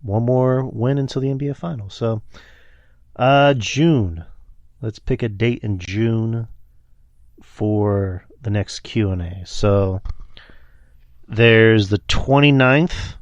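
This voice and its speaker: A man's voice: lowish voice, slow, long pauses, no echo, lo-fi sound. A man co-hosting a podcast Q&A in easygoing chat, joking and rambling with many fillers. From Texas. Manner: joking, loose, full of fillers. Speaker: podcast co-host.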